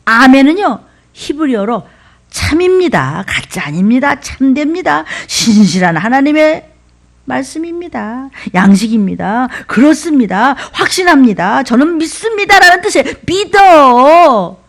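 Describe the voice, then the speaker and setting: Middle-aged woman pastor, Seoul accent, animated and slightly high-pitched, emphatic rhythmic preaching. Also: measured pace. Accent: Seoul dialect. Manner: emphatic, rhythmic listing with sudden whispers and shouts. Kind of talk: sermon.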